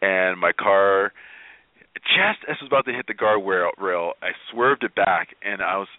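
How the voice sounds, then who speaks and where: A man with a fairly low voice comes through a dry, phone-like line. He is a motivational speaker being interviewed, telling his own story candidly and emphatically, often chuckling as he goes. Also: moderate pace, no room sound.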